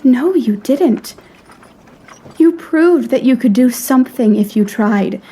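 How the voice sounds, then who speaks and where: A woman's voice: a little high, animated, slow pace, warm tone, husky, heavily acted, poor audio. A woman playing a proud, frank young lady in a period audio drama, speaking in a refined, articulate and earnestly reproachful way. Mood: gentle, earnest.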